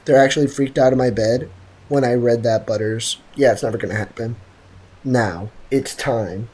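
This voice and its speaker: A man's voice: medium pitch, normal speed, slightly rough. Hyped young male game streamer. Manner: excitable, joking, trash-talking. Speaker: gaming streamer. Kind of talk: live game commentary, chatting with viewers.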